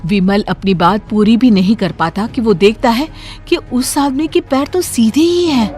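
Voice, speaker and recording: A woman's voice: fairly high pitch, a little slow, a little mellow, faint music behind. A woman narrator reading a scripted horror story.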